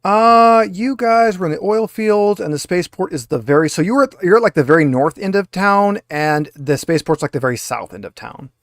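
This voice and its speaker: A man's voice: high-pitched, animated, brisk, few pauses, no echo, studio quality. A man acting as game master, narrating a tabletop roleplaying game.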